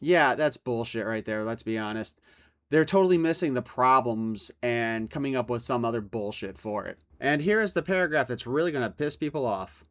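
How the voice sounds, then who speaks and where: A man delivers sarcastic commentary in a clean, clear voice. He is blunt and irritated, and he swears freely as he makes his points. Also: mid-range voice, expressive, moderate pace.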